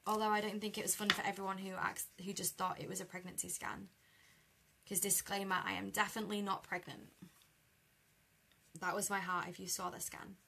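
A young British woman with a croaky voice chatting easily with live viewers while she colours at a craft desk. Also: medium pitch, little pitch movement, fairly quick, bright, forceful tone. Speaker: card-making demonstrator. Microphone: overhead camera over a desk.

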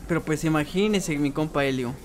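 High-voiced Mexican guy streaming. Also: fairly quick, faint music behind. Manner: reads chat comments aloud and answers them. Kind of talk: casual livestream chat while gaming.

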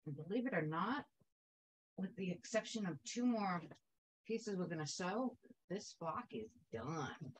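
A woman's voice: on the low side, animated, measured pace, slight background noise, low-quality recording. A woman teaching a quilting technique in a chatty, upbeat way, wandering into asides while she sews. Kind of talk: casual sewing tutorial.

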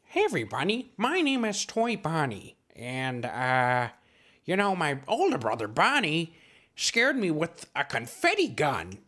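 Chirpy little animatronic bunny boy, a guy putting it on, raised pitch, swoopy and kid-like. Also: wildly swooping pitch, measured pace, pushed and bright, exaggerated voice. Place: small room at home. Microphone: studio mic on boom arm.

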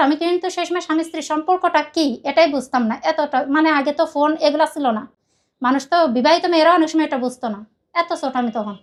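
A woman from Khulna with a Bangladeshi accent, telling her personal story in a rambling, emphatic way and often repeating herself. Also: high voice. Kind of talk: personal confessional account in conversation.

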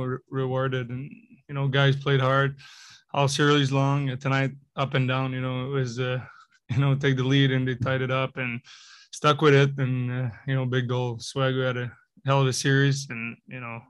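A man in his twenties, a hockey player answering questions at a postgame press conference, halting and filling his speech with "you know" and "I mean". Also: mid-range voice, clear, resonant tone, no echo. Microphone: table mic in front of him.

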